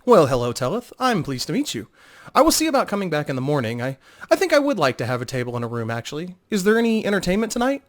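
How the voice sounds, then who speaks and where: A man's voice: on the high side, huge pitch swings, fast, pitched above the performer's own voice. A man voicing a polite, self-assured young woman adventurer in a dramatic fantasy story reading. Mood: pleasant, interested.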